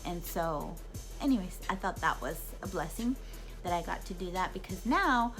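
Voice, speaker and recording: A woman in her thirties chatting casually to her camera, answering viewer questions with lots of fillers, restarts and animated asides. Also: on the low side, moderate pace, over music. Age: 30s. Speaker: YouTube vlogger.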